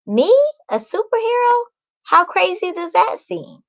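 A woman's voice: very high voice, very animated, a little slow, a bit bright, muffled recording, pitched above the performer's own voice, full character voice. A woman voicing an imaginative little superhero girl from a storybook, with dramatic exclamations. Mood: amazed.

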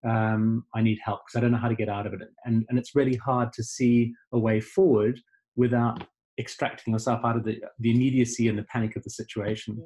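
A middle-aged man from Zimbabwe, a life coach guest on a video call, speaking thoughtfully and haltingly. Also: mid-range voice, flat delivery, warm tone. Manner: halting, many fillers and restarts, thoughtful. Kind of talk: casual video call conversation.

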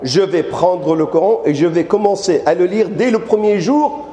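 An older man gives an animated mosque lecture into a handheld microphone, turning often to question his listeners. His voice sits high yet mellow and soft-edged. Long reverb from the hall trails his words. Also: moderate pace. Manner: animated, addresses audience directly with questions. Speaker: preacher. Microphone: handheld mic.

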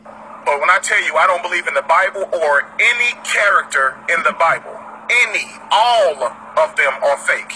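A man in his thirties with a highish, piercingly forceful voice lectures straight to the listener. His phrases are short and emphatic, like an insistent teacher. It is a phone recording from inside a car, with faint noise behind him. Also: lively intonation, moderate pace. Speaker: self-described teacher, former pastor. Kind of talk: emphatic monologue to camera. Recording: noisy phone video in car, replayed.

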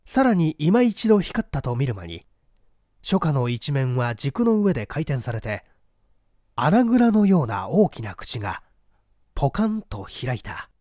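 A man narrating a novel in a measured, theatrical storytelling manner. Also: mid-range voice, big pitch swings, warm tone, no echo, clean studio sound. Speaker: audiobook narrator. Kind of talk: dramatic literary novel reading.

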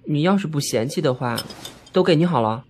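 A man's voice: on the high side, lively intonation, a little fast, a little mellow, husky. A man playing a naive, polite young male office worker in an audio drama, hesitant and boyish. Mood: puzzled, polite.

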